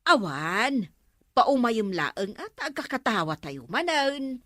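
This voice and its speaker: Actress as bright, lively, unhurried barangay woman. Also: on the high side, no room sound, studio quality, full character voice. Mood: cheerful. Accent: Ilocano. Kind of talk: Ilocano radio comedy drama acting.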